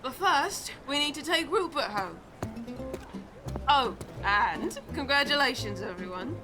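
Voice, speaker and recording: A woman's voice: high-pitched, expressive, measured pace, over music. A woman playing a bossy, upbeat, dutiful young child sleuth in an audio drama.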